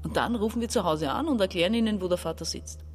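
A woman's voice: mid-range voice, fast talker, faint music behind, lightly acted. An actress playing a domineering, desperate woman of about forty in a radio crime drama, confiding one moment and bossy the next. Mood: scheming, reassuring. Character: domineering, desperate sister around forty, plotting and escapist. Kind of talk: German radio crime drama acting, narration and dialogue.

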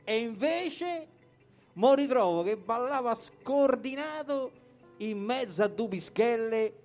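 Roman-accented male verse performer, high-pitched, colloquial and theatrical, light guitar underneath. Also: lively intonation, slow, darkish tone. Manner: colloquial, theatrical storytelling with acted dialogue. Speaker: poetry performer. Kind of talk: lively Roman dialect verse recital. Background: guitar music.

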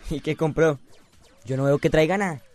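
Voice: mid-range voice, normal speed, a little mellow, close to an everyday voice. A performer in a radio soap opera playing a sullen, defiant teenage schoolboy who talks in curt slang.

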